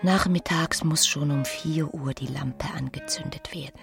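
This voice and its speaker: An actress playing a bored, melancholy young doctor's wife in a literary radio play, brooding and intimate, at times near whispering. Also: female voice, low voice, moderate pace, music in the background. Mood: weary, resigned. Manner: brooding, breathy, sometimes sinking into whispers.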